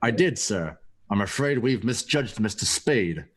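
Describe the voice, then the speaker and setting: A man's voice: fairly low pitch, expressive, a little slow. A man playing an obsequious, florid and placating criminal henchman in a noir radio play. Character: smooth-talking, obsequious male criminal henchman.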